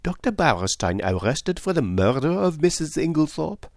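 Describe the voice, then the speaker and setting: A man's voice: medium pitch, sing-song, moderate pace, darkish tone, no echo, clean studio sound, pitched above the performer's own voice. A man voicing a clever, placid older foreign detective in an audiobook, with a precise, teasing, philosophical manner. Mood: astonished, incredulous. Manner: precise, philosophical, sprinkled with French endearments. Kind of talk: audiobook character dialogue.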